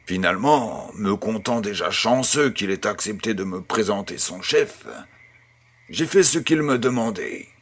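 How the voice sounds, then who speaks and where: A man playing a kindly grandfather who tells a bedtime tale in a measured, gentle, husky voice. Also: lowish voice, animated. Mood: storytelling, wondering. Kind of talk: scripted audio storytelling.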